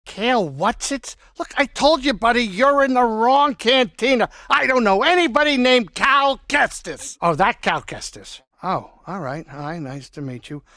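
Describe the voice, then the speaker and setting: A man voicing a gruff but caring small alien saloon keeper in a video game, with a blustery, folksy, wisecracking delivery. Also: extremely high pitch, animated, measured pace, hardly any pauses, bright, forceful tone, no echo, clean studio sound, exaggerated voice. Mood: excited, blustery. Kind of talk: video game character dialogue.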